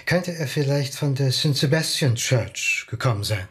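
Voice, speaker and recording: An actor playing a polite, methodical police officer questioning a witness in a radio drama. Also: male voice, mid-range voice, expressive, moderate pace, a bit bright, lightly acted. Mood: polite, probing. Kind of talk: naturalistic radio drama acting.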